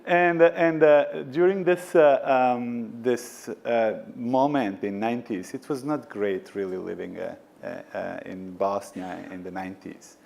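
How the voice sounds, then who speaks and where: A man's voice: mid-range voice, fairly even intonation, measured pace, vocal fry, few pauses, a little mellow. A man from the former Yugoslavia speaking on a conference panel, hesitant, with many fillers and restarts. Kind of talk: panel discussion. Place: conference stage.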